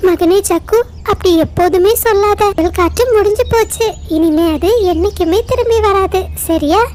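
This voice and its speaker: A woman's voice: very high-pitched, moderate pace, a little mellow. A woman voicing a protective, soothing mother bird in a children's cartoon story. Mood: concerned, soothing.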